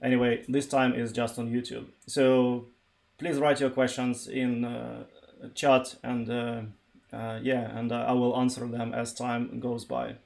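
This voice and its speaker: Russian-accented man, flat and creaky. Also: medium pitch. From eastern Ukraine. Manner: halting, searching for words. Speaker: live streamer, software developer. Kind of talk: informal tech livestream talk.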